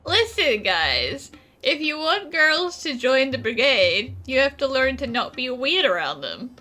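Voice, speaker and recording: A woman comments casually on a game playthrough in an amused, teasing way. Her voice is high and bright and moves at an unhurried pace, with faint music behind. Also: very animated. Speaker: gaming commentator.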